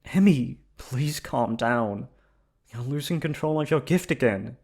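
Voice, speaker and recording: A man's voice: medium pitch, a little slow, a little mellow, pitched above the performer's own voice. A man voicing a worried older brother in a fantasy tale, earnest and imploring. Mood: alarmed.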